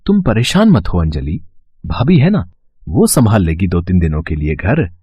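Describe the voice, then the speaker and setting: A man playing a caring, mild young husband in a cartoon melodrama, expressive and concerned, on a dull, muffled track. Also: deep voice, normal speed, breathy edge, dry recording, heavily acted.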